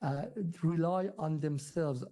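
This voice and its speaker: Very dark-voiced Iranian man, higher pitched. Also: slow pace. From Iran. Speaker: guest commentator on Iran. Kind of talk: conversational political analysis.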